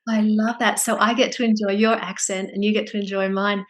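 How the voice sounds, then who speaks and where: A middle-aged Australian woman, a clinical psychologist, talking as an interview guest with energetic, bubbly warmth. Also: mid-range voice. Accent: mild Australian. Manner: energetic, bubbly, quick to laugh. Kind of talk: casual interview chat.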